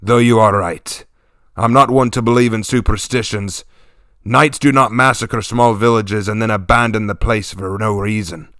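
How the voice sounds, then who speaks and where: A man voicing a stern, gruff warlord chieftain speaking to his daughters, grave and commanding. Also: on the low side, steady tone, fairly bright tone, no room sound, studio quality, close to an everyday voice. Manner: commanding, grave, slow and weighty.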